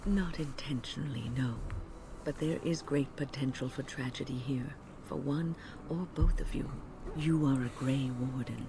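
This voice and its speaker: An actress playing a grave, wise older woman mage in a fantasy game, speaking in a measured, formal, admonishing way. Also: rumbling low, gravelly, background noise, exaggerated voice. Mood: stern counsel. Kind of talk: scripted fantasy game dialogue.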